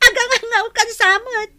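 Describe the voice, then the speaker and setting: A woman's voice: extremely high pitch, sharp tone, full character voice. An actress playing a weary, nagging middle-aged village mother in an Ilocano radio drama, scolding and exclaiming.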